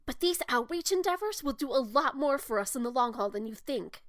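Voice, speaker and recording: A woman's voice: high-pitched, animated, fairly quick, a bit bright, no echo, clean studio sound. A woman playing an assertive young heiress who runs the family company in an audio drama, firm and exasperated.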